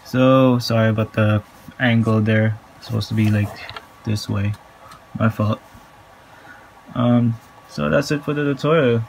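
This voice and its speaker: A man giving a casual hands-on tutorial in a halting, informal way, recorded on a handheld phone at home. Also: medium pitch, fairly even intonation, gravelly, darkish tone, slight background noise, poor audio. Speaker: tutorial presenter. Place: small room at home, indoors.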